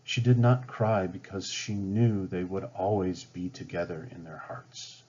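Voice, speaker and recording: A man reading a children's storybook aloud with dramatic, expressive narration. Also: sits low, unhurried, slightly rough. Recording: slightly dull, band-limited sound.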